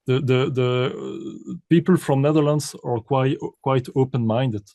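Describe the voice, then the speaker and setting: Belgian composer guy, keeps restarting sentences, dark soft voice. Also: mid-range voice, unhurried. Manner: hesitant, many repeated words and restarts. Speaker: composer and pianist, interview guest. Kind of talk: casual interview answers.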